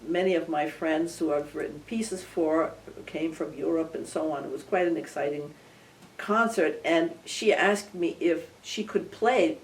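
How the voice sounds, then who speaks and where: An older woman, a composer whose first language was Armenian, talking thoughtfully in an interview with frequent restarts and soft laughs. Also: deep voice, a little slow, slight background noise. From Belmont area, United States. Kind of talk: casual reflective interview. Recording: recorded from some distance.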